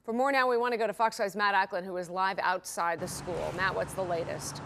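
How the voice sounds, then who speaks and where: A woman's voice: mid-range voice, steady tone, fairly quick, noisy background. A woman with an American accent reads scripted television news as an anchor.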